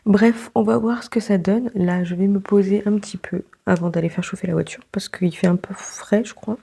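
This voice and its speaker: A woman's voice: medium pitch, steady tone, a little fast, gravelly, dark tone, breathy edge. A young woman vlogging casually, congested with a sore throat, chatting with many fillers and laughs. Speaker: book vlogger. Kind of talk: casual vlog chat.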